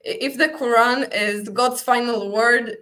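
A woman's voice: a little high, steady tone, unhurried, a bit bright. A woman whose first language is Hebrew, speaking as a panelist, critical and argumentative, thinking aloud with fillers. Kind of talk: casual online panel discussion.

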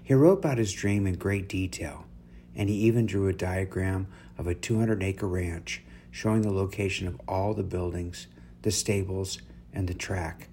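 A man with a deep, low voice reads an uplifting story aloud as its narrator. His phrasing is deliberate and measured, with pauses along the way. There is noticeable noise and hum behind him. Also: darkish tone. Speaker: story reader, host. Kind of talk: scripted inspirational story narration.

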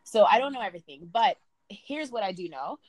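A woman's voice: mid-range voice, sing-song, brisk, a little mellow, no room sound, rough recording. A young woman from Sudan, a university student, speaking as a podcast guest in a chatty way, with many fillers and restarts. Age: young adult. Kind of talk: casual interview talk.

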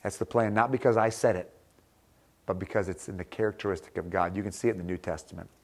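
Male pastor, low voice, emphatic and earnest, repeats phrases for stress. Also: steady tone, fast. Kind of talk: sermon with closing prayer.

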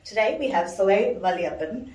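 A woman's voice: medium pitch, animated, normal speed, darkish tone. A woman hosting an interview show, asking friendly, curious questions. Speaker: podcast host. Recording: echoey room, mic at a distance.

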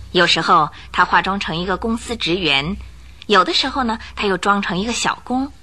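A woman narrating a radio detective drama in a dramatic, animated storytelling manner. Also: mid-range voice. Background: music bed.

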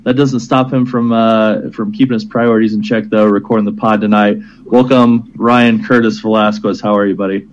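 A man's voice: on the low side, level tone, moderate pace, few pauses, dull audio, a little hiss or hum. A man hosting a casual podcast, teasing his co-hosts and laughing often. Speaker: podcast host. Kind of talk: casual chat.

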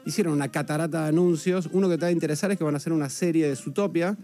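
A man's voice: fairly high pitch, rapid-fire, dark tone, soft background music. A man with a Rioplatense Argentine accent, speaking as an entertainment columnist in a casual radio chat, chatty and informative with many filler sounds. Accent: Rioplatense Spanish.

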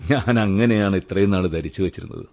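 An actor playing a wounded, world-weary young husband in a radio drama, sardonic and melancholy, speaking in measured phrases. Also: male voice, low voice, gravelly, a little mellow, faint music behind. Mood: melancholy, probing. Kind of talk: Malayalam radio drama acting.

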